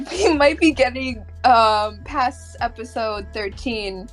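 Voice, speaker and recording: Slow talking woman, halting, explains stuff casually, bit of music behind. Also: fairly high pitch, poor audio. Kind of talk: casual group chat.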